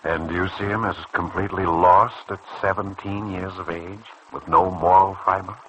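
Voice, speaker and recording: A man acting in a vintage radio soap as a calm, earnest doctor who probes and persuades in a measured way. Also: deep voice, highs cut off. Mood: probing, earnest. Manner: measured, probing, earnest delivery. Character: calm, earnest, persuasive male doctor counselling a younger colleague. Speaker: radio serial actor. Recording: noisy old radio drama recording.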